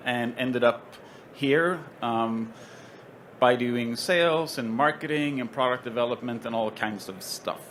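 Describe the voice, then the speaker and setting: A man with a Swedish accent introduces a trade show panel in an easy, joking manner. He often pauses on ums and uhs. A faint hum of the show floor sits behind his voice. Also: mid-range voice, pushed and bright. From Sweden. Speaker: panel moderator. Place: trade show stage with stands around.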